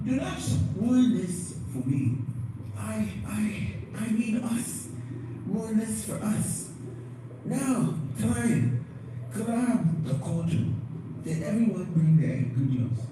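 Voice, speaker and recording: A man's voice: sits high, sing-song, slow, pitched above the performer's own voice, heavily acted. A man acting a pompous, angry male professor who leads a ritual in a grandiose, commanding way in an audio play. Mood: impatient, commanding. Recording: echoey, distant, noisy livestream playback.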